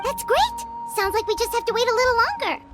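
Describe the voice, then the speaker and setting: A little fairy sidekick for a video game, childlike and excitable. The voice is squeaky and extremely high, swinging widely in melody. She is loyal and quick to exclaim, with prominent music playing behind her. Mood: eager. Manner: exclamatory, speaks of self in third person.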